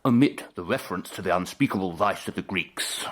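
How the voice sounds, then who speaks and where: An actor with an English accent playing an intense, petulant upper-class student in a period radio drama, clipped and impatient. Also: male voice, low-pitched, fairly quick, fairly bright tone. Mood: indignant, superior.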